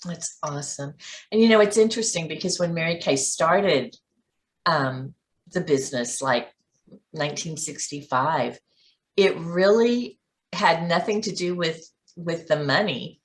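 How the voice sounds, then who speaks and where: A woman's voice: sits low, a little slow. Upbeat woman hosting an interview. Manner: upbeat, encouraging. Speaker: host, sales director. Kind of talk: casual interview.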